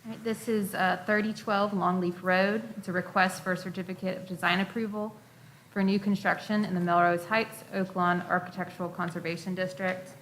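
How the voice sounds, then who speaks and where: A woman's voice: on the low side, flat delivery, fairly quick, fairly bright tone, slight background noise, rough recording. A woman, a staff presenter, formally reading out a case summary at a meeting.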